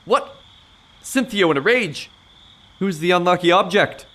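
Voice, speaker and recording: A man playing an excitable, easily offended young gallant in a period audio farce, florid and exclamatory. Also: high voice, a little slow, heavily acted. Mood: surprised disbelief.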